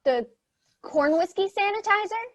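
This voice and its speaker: Casting: actress as alarmist woman in farce, very lively high voice, close dry sound, anxious and repetitive. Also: moderate pace, no room sound. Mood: alarmed. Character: panicky, overanxious woman on theater board. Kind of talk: farcical comedy acting.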